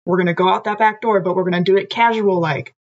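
Woman, game player, brisk commanding manner, slightly muffled recording. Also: medium pitch, steady tone. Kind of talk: in-character roleplay and table chat.

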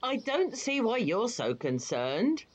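A woman's voice: on the high side, very animated, slow pace, breathy edge, full character voice. An actress playing a fussy, bossy, long-married older wife and mother in an audio play. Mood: fussy, chiding. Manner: fussy, chiding. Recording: muffled, noisy home recording.

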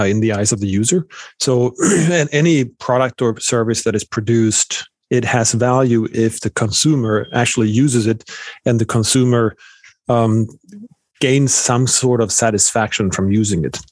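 A man's voice: medium pitch, normal speed, no echo. A middle-aged man from Sweden, a professor answering interview questions thoughtfully, with many fillers and restarts. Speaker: economics professor guest.

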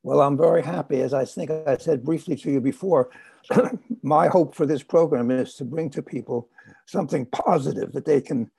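A man hosts a podcast in a measured, earnest voice. His tone is gravelly and a bit husky, set somewhat high and warm. The sound is dry and close to the mic. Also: no room sound. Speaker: podcast host. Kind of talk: scripted intro, then interview.

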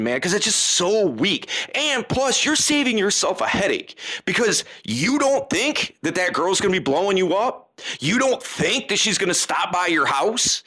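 A young man giving dating advice in casual chat, slangy and animated, acting out texts in silly voices. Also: a little high.

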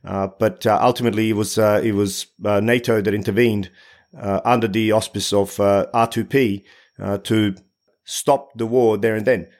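A man's voice: lowish voice, fairly even intonation, normal speed, darkish tone. A man hosting a podcast interview, framing his questions hesitantly with many filler sounds and restarts. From Bosnia. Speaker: podcast host.

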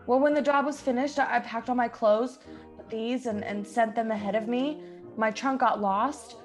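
A woman plays a plucky young heroine of an old radio drama, earnest and imploring. The voice sits fairly high, with music underneath. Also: steady tone, normal speed, lightly acted. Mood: pleading. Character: plucky, pleading young woman stranded in boy's disguise.

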